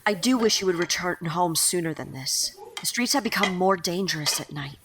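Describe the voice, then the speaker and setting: A woman playing a worried, gentle middle-aged Victorian mother, formal and pleading, in a period audio drama. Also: lowish voice, moderate pace, slight background noise, lightly acted.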